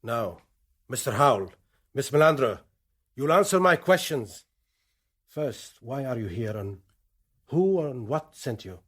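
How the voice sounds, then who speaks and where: A militant commander in a radio drama. His voice is mid-pitched and deliberate, and he questions people formally, with menacing courtesy and complete authority. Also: lively intonation, fairly bright tone, husky. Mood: stern, probing. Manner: deliberate, interrogating, formal, imperious.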